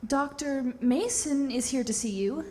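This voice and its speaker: Polite female switchboard operator, radio drama, high voice, efficient, subdued. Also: a little slow, warm tone. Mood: courteous. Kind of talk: radio theatre acting.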